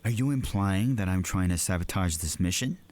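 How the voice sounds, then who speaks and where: A man acting in an audio drama as a smug, threatening military official, measured and condescending. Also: low-pitched, a little mellow, lightly acted. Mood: defensive, dismissive. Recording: music under the voice.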